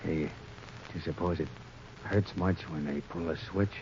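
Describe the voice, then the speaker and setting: An actor playing an uneasy death-row convict, musing in halting slangy talk, in 1930s radio drama style. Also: male voice, deep voice, fairly even intonation, a little mellow, lightly acted. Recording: scratchy, muffled 1930s radio recording.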